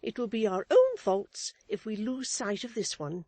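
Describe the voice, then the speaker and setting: A woman's voice: sing-song, moderate pace, mellow, no echo. A woman voicing a middle-aged wife who scolds her husband with withering, self-righteous indignation. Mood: moralizing. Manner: high-pitched, withering, sharply emphatic.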